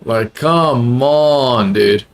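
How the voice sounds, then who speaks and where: A young man, not a native English speaker, with an accent close to British, reacting live to a race on stream in a casual, excitable way that often repeats itself. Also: medium pitch, drawn-out words, soft background music. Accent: close to British, not fully. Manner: excitable, repeats himself, calls viewers dude. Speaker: livestream host watching a race.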